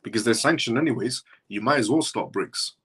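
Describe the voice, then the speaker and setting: A man's voice: mid-range voice, a little fast, fairly bright tone, husky. A man originally from Iran, hosting a live show and talking through his points in a rambling way that circles back on itself. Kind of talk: casual livestream commentary.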